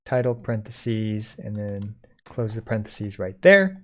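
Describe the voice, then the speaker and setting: A man giving a casual software code-along, thinking aloud informally as he types. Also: on the low side, very animated, unhurried. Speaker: software tutorial instructor.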